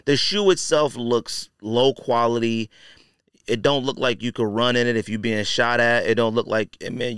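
A man talks as a podcast host in a smooth, clear, medium-pitched voice. His delivery is colloquial and opinionated, leaning on repeated phrases to drive points home. The sound is dry, with hardly any room to it. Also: fairly bright tone, no echo. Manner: emphatic, repeats phrases for stress. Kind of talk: casual opinion commentary on sneakers.

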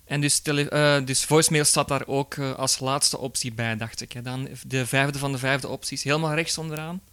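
A Flemish man speaks as co-host of a casual tutorial. His voice sits at an ordinary male pitch, and he talks in an informal, instructional way. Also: steady tone, moderate pace, sharp tone, smooth, no echo. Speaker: podcast co-host demonstrating phone features.

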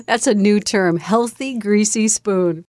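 A woman's voice: medium pitch, slow pace, a little mellow, dry recording, studio quality. A woman hosting an interview, asking friendly, encouraging questions. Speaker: interview host.

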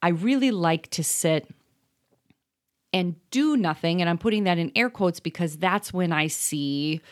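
A woman co-hosting a parenting podcast, talking in a thoughtful, rambling way with many pauses and ums. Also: on the low side, expressive. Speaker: podcast co-host. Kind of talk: casual chat.

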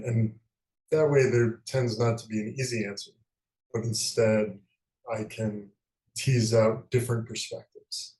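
A man's voice: lowish voice, a little mellow. Thoughtful man in an echoey room. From American Midwest. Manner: thoughtful, many fillers. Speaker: guest novelist. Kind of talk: interview answers.

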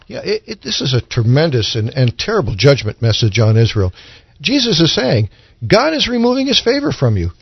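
A man on a discussion panel explaining religious ideas in a deliberate, teacherly way. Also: medium pitch, wildly swooping pitch, gravelly, breathy edge, dry recording. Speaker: panelist on a Bible discussion show. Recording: dull, lo-fi audio.

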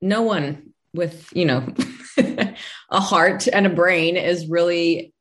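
A woman guest in a casual conversation, explaining in a clear, measured way. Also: on the low side.

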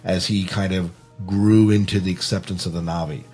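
Low, husky-voiced man hosting a podcast. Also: fairly even intonation, normal speed, a little mellow, soft background music. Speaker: podcast host. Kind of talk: casual film discussion.

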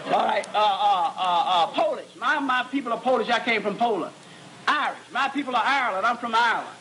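A man, a psychologist, giving an animated lecture to students, repeating words as he searches and joking with the audience. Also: extremely high pitch, fairly quick, low-quality recording.

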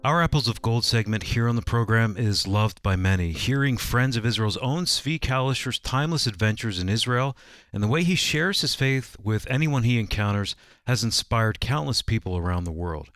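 A man's voice: medium pitch, lively intonation, moderate pace, fairly bright tone, no echo. A man reading a scripted promotional announcement for a radio program in a studio.

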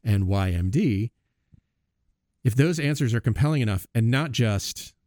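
A man's voice: mid-range voice, animated, a little slow, warm tone, no room sound, clean studio sound. An American man in his fifties hosting a podcast in a conversational way. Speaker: podcast host.

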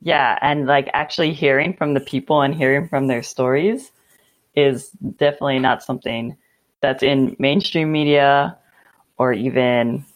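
A woman's voice: extremely low pitch, steady tone, moderate pace. A young woman from Hawaii who studied urban planning, interviewing in a casual, friendly way. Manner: casual, friendly, uses "like" often. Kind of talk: conversational interview.

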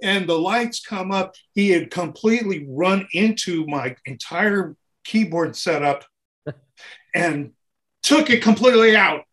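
A man's voice: high-pitched, expressive, slow, a bit bright. A man telling casual anecdotes as an interview guest, acting out bits of dialogue and repeating phrases for emphasis in an informal way. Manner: acts out dialogue and sounds while telling stories. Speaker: interview guest, touring keyboard player.

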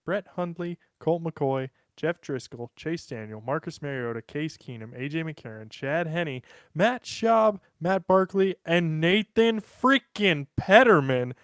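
A man's voice: fairly high pitch, lively intonation, measured pace, fairly bright tone, clear, resonant tone, dry recording, clean studio sound. An American man co-hosting a podcast in casual, joking chat, listing off sports names. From United States. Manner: joking, rattles off sports lists. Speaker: podcast co-host.

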